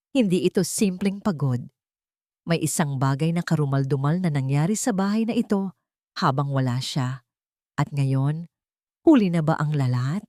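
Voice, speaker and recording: A woman narrating a scripted dramatic story in measured phrases with deliberate pauses. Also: on the low side, dark tone, dry recording, studio quality.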